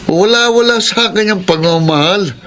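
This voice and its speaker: Young Filipino pickpocket, boastful, scheming, slangy, higher-pitched male voice, radio drama, noisy mix. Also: normal speed, a bit bright. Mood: smug, boastful. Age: 20s.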